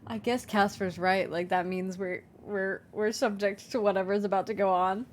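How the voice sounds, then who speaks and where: A woman's voice: medium pitch, fairly even intonation, moderate pace, a little hiss or hum. A woman co-hosting a game stream, chatting casually and swearing when something startles her. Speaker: let's play co-host.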